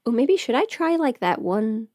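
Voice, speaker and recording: A woman's voice: on the high side, very animated, normal speed, a little mellow, no room sound. Woman playing a chatty girl streamer doing makeup, rambly n playful, drops into whispery asides, super dry room sound. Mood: curious, chatty. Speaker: livestreamer doing a get-ready-with-me makeup stream. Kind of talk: casual livestream chat, scripted audio roleplay.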